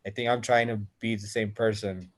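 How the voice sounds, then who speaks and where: A man's voice: lowish voice, fairly even intonation, brisk. A man from Ethiopia, an engineer, answering interview questions with many fillers and restarts. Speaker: engineer and innovation center founder.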